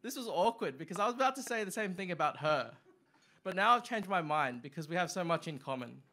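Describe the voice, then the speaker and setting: A young man performing open mic stand-up, with a halting delivery full of ums and uhs, on a small club stage. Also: sits high, big pitch swings, bright, forceful tone. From Australia. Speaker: stand-up comic. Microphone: stand mic, far camera.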